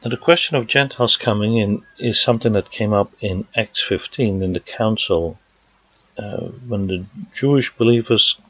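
A man with a Dutch accent preaches in a low, slightly husky voice. He works through scripture passage by passage and pauses with fillers along the way. The recording sounds dry and dull, with a lo-fi quality. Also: fairly bright tone, no echo. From Netherlands. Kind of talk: sermon teaching.

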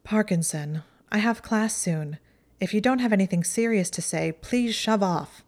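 A woman's voice: medium pitch, normal speed, darkish tone, pitched above the performer's own voice, natural voice. Woman reading as a clever young witch, earnest, flustered, apologies spilling out, storybook. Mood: irritated. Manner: earnest, pleading, tumbling apologies.

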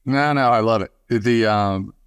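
A man hosting a casual sports podcast interview, speaking haltingly and often repeating words as he restarts. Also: on the low side, clear, resonant tone, no echo.

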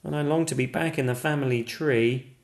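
A man's voice: mid-range voice, a little fast, reverberant, pitched above the performer's own voice, natural voice. A man voicing a small, brave stick figure who keeps insisting who he is in a sing-song refrain. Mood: indignant.

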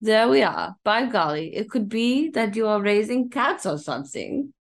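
Folksy butcher, played by a woman, very animated intonation, garrulous, broken English, good-natured, radio play. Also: mid-range voice, moderate pace, creaky. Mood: puzzled, jovial. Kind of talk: old-time radio drama table read.

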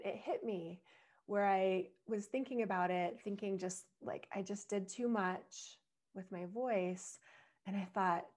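A young adult woman hosting a solo podcast. She explains things in a reflective way, laughs now and then, and her voice is slightly husky from strain. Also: mid-range voice, darkish tone. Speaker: podcast host.

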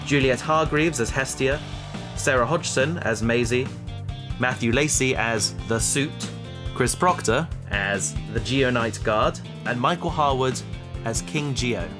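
A man reading scripted end credits as a podcast creator, speaking to listeners in a measured, sincere and friendly way. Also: mid-range voice, over music.

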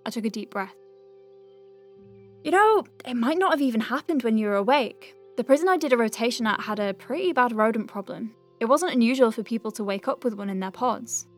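A high-pitched female voice from an actress plays a young doctor in an audio drama. She is wry and soft-hearted, dictating clinic notes in a chatty, informal way. Music runs behind her. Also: lively intonation, a little fast. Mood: earnest, explanatory. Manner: chatty, wry, hesitant asides. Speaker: lead voice actor.

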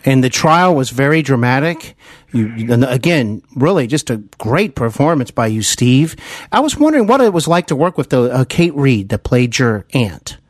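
A man hosting an interview podcast, speaking in an earnest, halting way with repeated words as he recalls things like a devoted fan. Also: mid-range voice, very animated, no room sound, clean studio sound. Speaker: podcast host. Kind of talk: interview, enthusiastic fan recollection.

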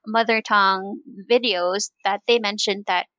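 Bright upbeat woman host. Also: medium pitch, fairly even intonation, a little slow, no room sound, muffled recording. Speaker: podcast host. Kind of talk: casual interview chat.

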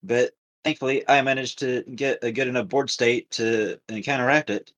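A man co-hosting a podcast, telling a story casually with halting, repetitive, circling phrasing. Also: mid-range voice, fairly even intonation, a bit bright. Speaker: podcast co-host.

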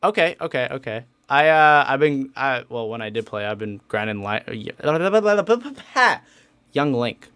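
A man's voice: mid-range voice, very animated, unhurried, a bit bright, clean tone. A young man of nineteen hosting a podcast in casual chat, giggly and rambling with many fillers. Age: late teens. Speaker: podcast host.

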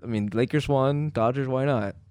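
A young man co-hosting a podcast, relaxed and slangy, swearing freely. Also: mid-range voice, normal speed, clear, resonant tone, no echo. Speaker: podcast co-host. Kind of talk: casual chat.

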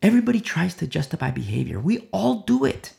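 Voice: medium pitch, sing-song, fast, creaky, mellow. A preacher delivering a sermon, with rhetorical questions and a repeated "you see?".

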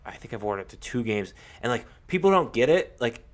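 A young American man co-hosting a podcast, talking casually about sports with a halting, repetitive storytelling manner. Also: mid-range voice, very animated. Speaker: podcast co-host.

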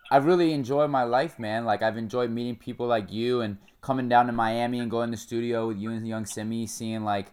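A man's voice: mid-range voice, steady tone, brisk, hardly any pauses, a little mellow, smooth. A young man hosting a podcast, asking questions in a friendly, affirming casual chat with restarts. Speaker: podcast host.

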